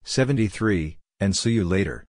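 A man reading short scripted practice phrases as a narrator, in a deliberate, clipped, phrase-by-phrase way. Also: lowish voice, lively intonation, a little mellow.

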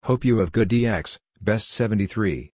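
A man's voice: fairly low pitch, normal speed, darkish tone, dry recording, clean studio sound. A man narrating a practice drill, reading short scripted phrases plainly, one at a time.

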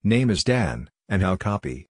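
Deep-voiced man reading practice phrases. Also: sing-song, unhurried, a little hiss or hum. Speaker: Morse code practice narrator. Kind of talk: scripted phrase readout for practice drill.

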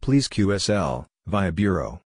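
A man reading scripted practice phrases as a narrator, in a deliberate, clipped, phrase-by-phrase delivery. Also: low voice, very animated, a little mellow, slight background noise. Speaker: Morse code practice narrator.

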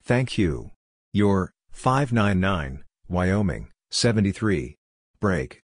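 A man narrating code practice, reading short scripted phrases in a clipped, deliberate way and spelling out letters and numbers. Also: sits low, lively intonation, dry recording, clean studio sound.

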